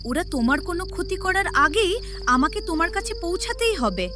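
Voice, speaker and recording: A woman's voice: very high-pitched, very animated, normal speed, noisy background. A woman playing a devoted, brave teenage village girl in a cartoon story, with earnest, emotional delivery. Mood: anxious, determined. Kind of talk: melodramatic cartoon story acting. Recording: loud music behind the voice.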